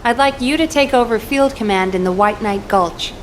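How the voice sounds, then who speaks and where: An actress playing a composed, authoritative military commander in a video game, speaking in a measured, formal, firm way. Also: female voice, fairly high pitch, a little hiss or hum, lightly acted. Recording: slightly distant, music clearly behind.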